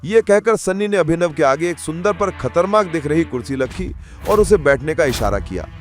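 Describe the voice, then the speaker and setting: A man's voice: fairly high pitch, lively intonation, moderate pace, faint music behind. A man narrating a scripted audio drama in a dramatic storytelling style.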